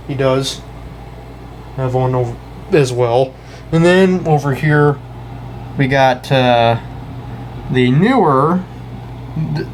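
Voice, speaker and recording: A young American man giving a casual, off-the-cuff show-and-tell, talking in a halting, rambling way and often correcting himself. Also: medium pitch. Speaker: hobbyist video creator. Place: indoors in a house. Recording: noisy room with air-conditioner hum.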